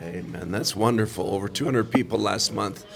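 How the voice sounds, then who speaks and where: Deep-voiced podcast host saying amen. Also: male voice, normal speed, gravelly, music in the background. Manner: warm, affirming, frequent amens. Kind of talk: interview on a mission trip.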